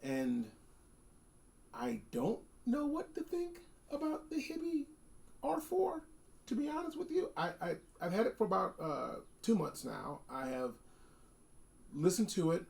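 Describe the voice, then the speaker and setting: A man hosting a casual, unscripted gadget review, talking in an animated, emphatic way and repeating phrases for effect. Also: high voice, measured pace, gravelly, pauses often, breathy edge. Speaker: product reviewer hosting a video.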